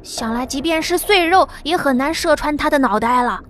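A woman's voice: high voice, moderate pace, natural voice. A woman voicing worried male brothers who watch from afar and speak in an exasperated, anxious way.

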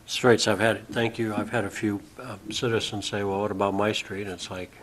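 A man's voice: fairly low pitch, level tone, a little fast. A man chairing a council meeting, asking casual, joking questions from the far end of a city council chamber.

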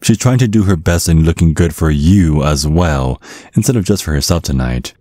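A man plays a genteel, menacing old vampire dad in an ASMR roleplay. His voice is extremely low and recorded very dry and close. He talks down to his daughter's suitor with polished, sly threat. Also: animated, normal speed, warm tone, no room sound. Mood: condescending. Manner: slyly threatening, condescending, mock-polite. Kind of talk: ASMR roleplay, scripted monologue.

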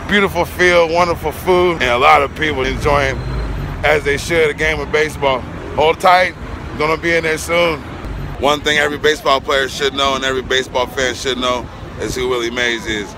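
A man's voice: a little high, fairly even intonation, normal speed. A man in his thirties vlogging on a phone held at arm's length, chatting enthusiastically as he tours a busy ballpark. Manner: upbeat, enthusiastic and chatty with fans. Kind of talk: casual selfie-camera vlog narration. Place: outdoors and in a busy baseball stadium concourse. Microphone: phone camera at arm's length. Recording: noisy crowd and background music. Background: crowd chatter and music.

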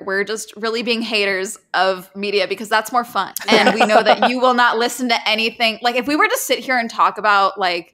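A woman's voice: medium pitch, bright, forceful tone. A young woman chatting casually and comedically as a podcast guest, giggly and candid, on a video call from her living room. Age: young adult.

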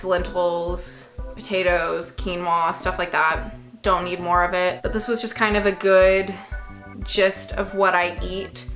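A woman's voice: mid-range voice, pushed and bright. A young woman chatting casually through a grocery haul vlog, matter-of-fact with dry little asides, filmed close in a small bedroom. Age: young adult. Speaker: vlogger.

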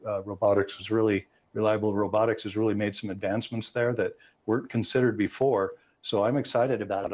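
Husky older American man, expert commentator. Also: lowish voice, darkish tone. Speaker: aviation safety analyst. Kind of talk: expert commentary in a news interview. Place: indoor room on a video call. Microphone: video call.

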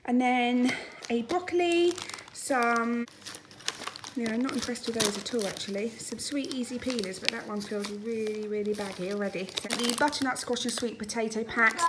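A woman's voice: on the high side, moderate pace, noisy background. A woman in her thirties with a British accent, chatting informally as she narrates a casual home vlog. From United Kingdom. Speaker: family vlogger. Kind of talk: casual grocery haul narration. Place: home dining room, indoors.

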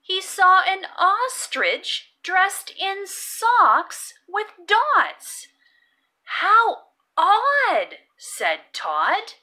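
A woman reading a children's picture book aloud and teaching phonics, expressive and deliberate, with sing-song emphasis on key words. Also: very high-pitched. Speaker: children's read-aloud narrator.

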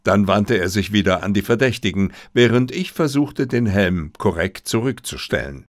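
Expressive male audiobook narrator, lively intonation. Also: fairly low pitch, dry recording, clean studio sound. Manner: measured, expressive storytelling. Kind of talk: scripted first-person story narration.

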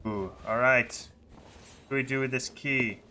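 A man chatting excitably and playfully with crewmates as he plays a game. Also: mid-range voice, a little slow, slight background noise. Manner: exclamatory, playful. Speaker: gaming streamer, crew captain. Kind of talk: excitable gameplay chat with crewmates. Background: game sound effects and ambience.

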